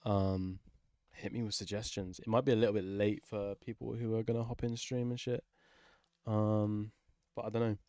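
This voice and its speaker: A man's voice: on the low side, little pitch movement, moderate pace, warm tone, dry recording, clean studio sound. A man casually chatting on a livestream while he works, informal and sweary, often muttering to himself. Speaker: livestream host, music maker.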